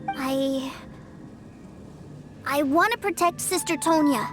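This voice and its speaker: A woman playing an eager, stubborn little boy in a video game, childlike and excitable. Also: high-pitched, slow pace, music in the background. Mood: eager, earnest. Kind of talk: game cutscene dialogue.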